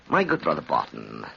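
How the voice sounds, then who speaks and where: Gravelly, cold-blooded radio villain. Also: male voice, rumbling low, sharp tone, heavily acted. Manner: theatrical, grandiose, taunting. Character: cynical, cold-blooded wicked man relishing his evil. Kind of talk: old-time radio drama acting. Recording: dull, hissy old radio recording.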